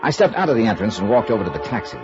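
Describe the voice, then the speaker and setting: An actor playing a wry, persistent insurance investigator in 1950s radio noir, with a dry, sardonic, clipped hardboiled delivery. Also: male voice, lowish voice, big pitch swings, rapid-fire. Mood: dry narration. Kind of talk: 1950s radio noir acting and first-person narration. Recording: muffled vintage radio recording with music.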